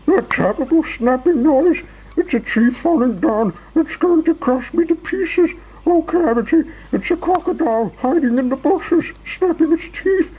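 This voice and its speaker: A man's voice: extremely high pitch, sing-song, normal speed, few pauses, husky, muffled recording, voice pushed up, full character voice. A man voicing a tiny, hysterical storybook character who shrieks, stammers and dramatizes every fright. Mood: shrieking panic. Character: tiny, panicky, hysterical male storybook character Mister Jelly.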